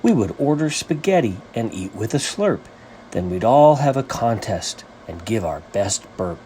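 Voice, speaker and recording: A man reading a rhyming children's story as its author, with an animated, sing-song rhythmic delivery. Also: on the low side, moderate pace, slight background noise.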